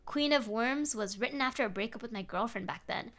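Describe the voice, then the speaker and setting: A woman's voice: a little high, fairly quick, a bit bright. A woman narrating a horror story aloud in a dramatic read-along style. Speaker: streamer reading horror stories aloud.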